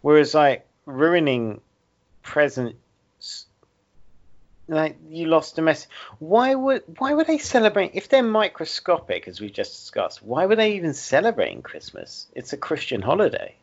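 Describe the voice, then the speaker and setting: A man's voice: on the high side, very animated, a little slow. A man with an Essex accent hosting a casual podcast chat, rambling and arguing his points. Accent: Essex English. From Essex, England. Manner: rambling, argumentative, self-correcting. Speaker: podcast host. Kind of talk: casual banter. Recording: dull lo-fi sound.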